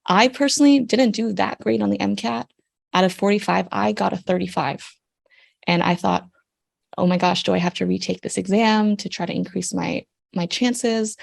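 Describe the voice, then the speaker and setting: A young woman from Canada answers interview questions in a friendly, explanatory way. Her voice sits low and has a gravelly fry. She often pauses with an "um" as she gives advice. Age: young adult. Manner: friendly and explanatory, with frequent ums. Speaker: guest, surgical intern.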